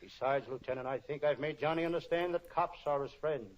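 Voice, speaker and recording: A man's voice: on the high side, brisk, close to an everyday voice. A man playing a wisecracking, kind-hearted private detective in an old-time radio spoof, both narrating and in dialogue. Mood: sincere, reassuring. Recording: dull lo-fi old radio recording.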